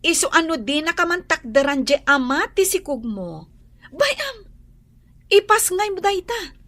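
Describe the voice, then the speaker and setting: Animated, high-voiced Ilocano woman friend. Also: measured pace, full character voice. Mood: eager, helpful. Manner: animated, chatty, encouraging. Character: supportive young woman friend of pregnant single mother. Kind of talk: radio drama acting.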